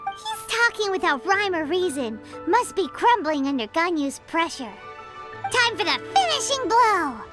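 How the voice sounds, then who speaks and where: A woman voicing a tiny, cheerful, childlike fairy companion in an animated video game, excitable and eager. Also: very high voice, measured pace, heavily acted. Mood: smug, eager. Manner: excitable, exclaiming. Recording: loud music behind the voice.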